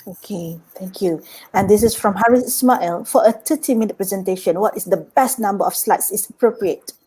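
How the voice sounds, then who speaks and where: A woman's voice: mid-range voice, fairly quick, dark tone, breathy edge, rough recording. A woman moderating a video call, politely reading out audience questions. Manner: brief, polite acknowledgements.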